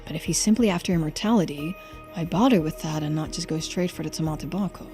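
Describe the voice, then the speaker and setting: A woman's voice: sits low, fast, warm tone, faint music behind. A woman voicing an informal, hesitant and wary male investigator in a video game. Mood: wary, muttering. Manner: casual, hesitant phrasing. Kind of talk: video game character voice-over.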